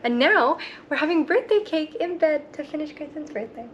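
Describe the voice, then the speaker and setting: A woman's voice: high-pitched, animated, moderate pace, dull audio. A woman chatting casually to a vlog, informal and full of gushing emphasis. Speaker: travel vlogger. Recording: very noisy travel vlog audio.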